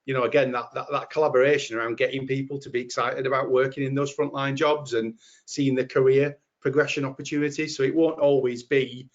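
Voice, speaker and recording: A man's voice: medium pitch, little pitch movement, fairly quick, dull audio. A man with a Yorkshire accent answering earnestly on a webinar panel, often repeating words as he thinks. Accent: Yorkshire English.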